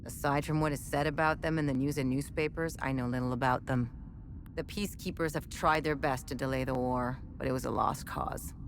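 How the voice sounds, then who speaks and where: Actress doing game npc lady scientist, chatty but measured, kinda wry, noisy ambience behind her. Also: sits low, lightly acted. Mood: wry, conversational. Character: chatty, wry female military research scientist, adult. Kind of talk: scripted game dialogue, conversational exposition.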